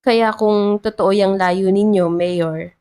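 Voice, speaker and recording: Narrator lady as a strict but soft-hearted woman treasurer, formal, reserved, romance story, mid pitch. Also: fairly even intonation, slow pace, smooth, lightly acted. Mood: sincere, moved.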